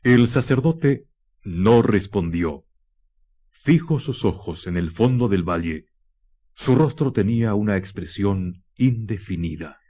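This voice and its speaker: Slow, low-voiced male narrator. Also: lively intonation, warm tone, breathy edge. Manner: solemn, deliberate. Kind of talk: dramatic scripted radio narration.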